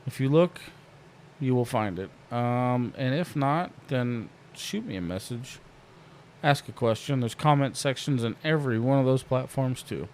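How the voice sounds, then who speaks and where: A man's voice: medium pitch, expressive, measured pace, darkish tone, a little hiss or hum. A man hosting a podcast in a casual, joking way, swearing freely. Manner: laid-back, swears freely, jokey. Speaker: podcast host.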